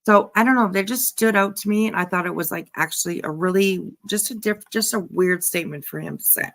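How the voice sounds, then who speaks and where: A woman host giving casual reaction commentary, musing in a halting, repetitive, emphatic way. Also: mid-range voice, fairly bright tone. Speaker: host giving commentary on a true-crime case.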